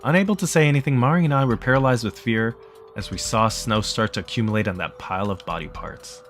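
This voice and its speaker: A man's voice: medium pitch, quick pace, soft background music. A man reading visual novel narration aloud during a let's play.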